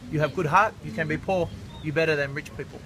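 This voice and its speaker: A man's voice: on the high side, quick pace. A man answering a street interview in blunt, simple, broken phrasing. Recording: street noise outdoors.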